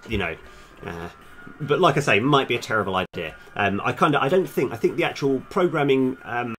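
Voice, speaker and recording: Guy with a lowish voice mumbling thru a dev stream, thinking out loud, unsure of himself, bit of music in the back. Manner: rambling, hesitant, self-deprecating. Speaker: streaming game developer, host. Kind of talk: casual live coding stream talk, thinking aloud.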